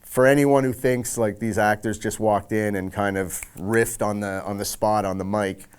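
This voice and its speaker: A man's voice: fairly low pitch, fairly even intonation, moderate pace, clear, resonant tone. A man who works as an audio director, talking conversationally and thoughtfully in a making-of interview. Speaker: senior audio director at a game studio.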